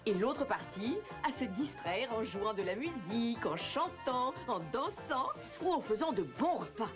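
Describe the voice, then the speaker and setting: A woman narrates a children's fairy tale from a script. Her fairly high voice rises and falls dramatically, with music clearly playing underneath. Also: a little slow. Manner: storytelling, expressive. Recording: music behind the voice.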